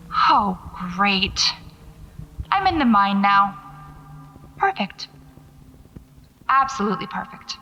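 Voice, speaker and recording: A woman's voice: mid-range voice, bright, forceful tone, lo-fi sound, a little hiss or hum, full character voice. A woman acting as a wry, stubborn paranormal investigator in an audio drama, talking to herself as she records field notes. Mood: startled, exasperated. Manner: muttering to herself, sardonic, self-coaching.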